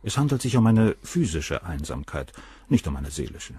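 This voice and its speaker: A man's voice: low-pitched, big pitch swings, moderate pace, warm tone, husky, dry recording, heavily acted. An actor in a German crime radio play playing a cold, cynical middle-aged surgeon, detached and coolly superior as he answers questions. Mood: detached, explanatory.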